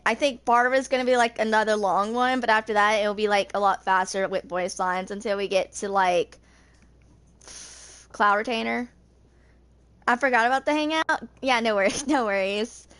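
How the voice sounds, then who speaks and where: Casual, higher-pitched young woman gamer. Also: a bit bright. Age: 20s. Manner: informal, rambling. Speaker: video game commentator. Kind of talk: casual chat commentary.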